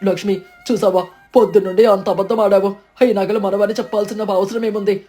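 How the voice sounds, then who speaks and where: A woman's voice: mid-range voice, fairly even intonation, fast talker, dark tone, faint music behind, lightly acted. A woman playing an anxious, honest village husband who chides reproachfully in a storybook tale. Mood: worried, reproachful. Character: worried, honest village husband scolding his boastful wife.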